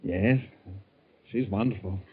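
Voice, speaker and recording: Meek but scheming American bank clerk; slightly rough voice, old radio drama, thin narrow-band sound. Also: male voice, on the low side, slow pace, exaggerated voice. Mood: excited, hopeful. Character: earnest, meek male bank clerk, secretly confident schemer. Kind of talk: 1940s radio drama acting. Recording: tinny, muffled vintage radio recording.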